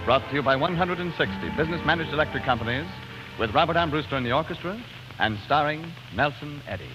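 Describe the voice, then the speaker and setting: A man's voice: fairly high pitch, expressive, fast talker, loud music behind the voice. A man working as a radio show announcer, speaking in scripted 1940s style on a dull vintage broadcast.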